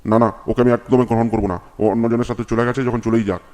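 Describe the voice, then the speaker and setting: A man's voice: medium pitch, fairly even intonation, fast, darkish tone, natural voice. A man playing an aggrieved, stubborn village husband in a comedy cartoon, whining defiantly in crude words.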